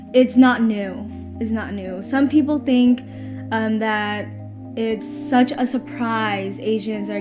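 A teenage high school student born in Vietnam, speaking thoughtfully in a documentary interview with hesitant pauses. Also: female voice. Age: teens. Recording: music bed underneath.

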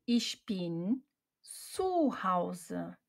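A woman reading short example sentences for learners, saying each one twice in clear, separate chunks. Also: fairly low pitch, big pitch swings, extremely slow. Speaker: German teacher.

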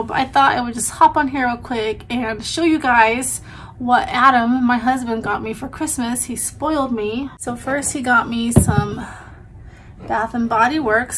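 Woman, 30s, head cold and stuffy nose, rambling home vlog chat, fairly high voice. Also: fairly bright tone, a little hiss or hum, rough recording. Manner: chatty, rambling, with frequent "um" and "like". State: stuffy and congested with a sore throat. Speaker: vlogger. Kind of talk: casual chat. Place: small home bedroom, indoors. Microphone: camera in front at close range.

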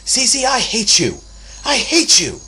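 A man voicing Timothy, an anxious young boy who pleads in a rushed, breathless way. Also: medium pitch, big pitch swings, dark tone, a little hiss or hum, pitched above the performer's own voice. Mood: furious. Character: anxious, eager young boy desperate to belong.

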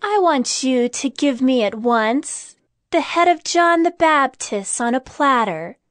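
A woman playing a young, demanding princess in an audio Bible drama, speaking deliberately. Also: high-pitched, lively intonation, no echo, studio quality. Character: young princess, a royal daughter making demands.

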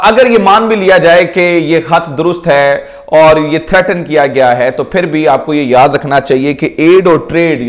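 Pakistani guy doing informal news commentary, voice a bit high, explains things and drops in english words. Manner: explanatory, with rhetorical questions and English words mixed in. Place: indoor room in front of wooden doors.

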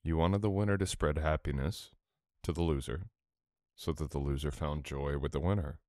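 Casting: male actor as teasing, affectionate boyfriend for ASMR roleplay; extremely low voice, close dry sound, soft unhurried murmur. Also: dry recording.

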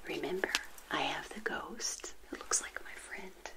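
An older woman whispering a gentle, playful ASMR roleplay as a witch hostess, speaking straight to the listener in a breathy voice. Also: sits low, unhurried.

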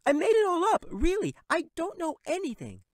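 A man voicing a frightened, tearful little girl in an audiobook, with a high pleading falsetto. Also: wildly swooping pitch, normal speed, no echo, studio quality, exaggerated voice. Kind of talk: audiobook character reading.

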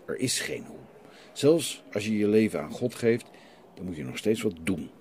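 A man's voice: fairly low pitch, big pitch swings, normal speed, darkish tone. A man playing a stern, defiant renegade priest in a radio play, challenging and preachy as he questions. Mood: admonishing. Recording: light background noise.

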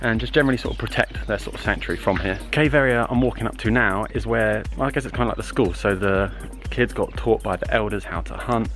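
Young guy talking to a handheld cam on a road trip, lowish voice, music under it. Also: fast talker, few pauses. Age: young adult. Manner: relaxed, chatty, hesitant with fillers. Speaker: travel vlogger. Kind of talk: casual travel vlog narration. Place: outdoors at a desert rock site and in a campervan. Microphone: camera held at arm's length.

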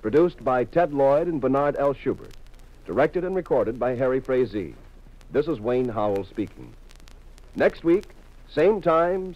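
A man's voice: mid-range voice, highs cut off. A man announcing a 1950s network radio show in a formal, polished, scripted style.

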